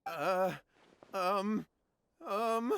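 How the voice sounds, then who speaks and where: A man's voice: high voice, very slow, a bit bright, clean tone, dry recording, full character voice. A man voicing an anxious, evasive young villager in a video game, nervous, stammering and pleading.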